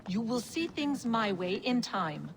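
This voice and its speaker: An actress voicing an ominous, scheming Norse giantess in a video game, speaking with veiled menace. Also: female voice, a little high, sing-song, a little slow. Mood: veiled threat. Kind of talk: video game cutscene acting. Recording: faint background game ambience noise.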